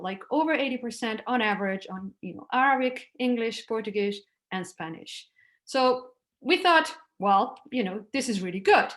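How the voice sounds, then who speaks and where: Middle-aged Italian-born woman, slightly raised pitch, chatty lecturer on a video call. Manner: conversational, with frequent restarts and "like" fillers. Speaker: academic speaker. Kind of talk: conference talk over slides.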